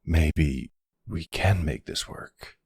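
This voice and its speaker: Guy doing a smooth talking older mafia boss, super low husky voice, slow and teasing, asmr roleplay. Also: darkish tone, no room sound, studio quality, heavily acted. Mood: tender.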